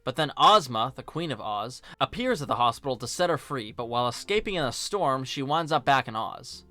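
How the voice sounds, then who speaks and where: Lower-pitched man narrating a video review. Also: sing-song, brisk, sharp tone, soft background music. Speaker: film review video host. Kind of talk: scripted video essay narration with casual asides.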